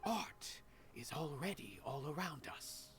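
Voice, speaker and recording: Aloof, lilting philosophical observer. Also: female voice, low voice, big pitch swings, slow, soft background music, heavily acted. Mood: condescending. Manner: detached, condescending, musing. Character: aloof, cerebral observer of art, condescending and enigmatic. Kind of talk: video game voice acting.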